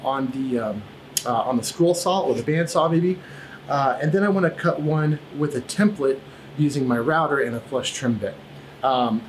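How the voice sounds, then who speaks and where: A man's voice: fairly high pitch, a little hiss or hum, low-quality recording. A man in his thirties hosting a casual woodworking vlog in his home shop, talking with many fillers and restarts, recorded by a camera a few feet away. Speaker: woodworking channel host.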